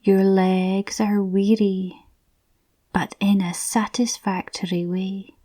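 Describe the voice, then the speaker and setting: A woman softly narrating a scripted guided visualisation in a soothing, breathy, near-whispered voice. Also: medium pitch, little pitch movement, slow pace.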